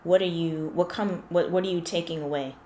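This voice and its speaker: Woman coach, lowish voice, patient explainer who asks probing questions, roomy echo with faint hiss. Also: steady tone, brisk, dark tone. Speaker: confidence coach. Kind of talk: one-on-one coaching session.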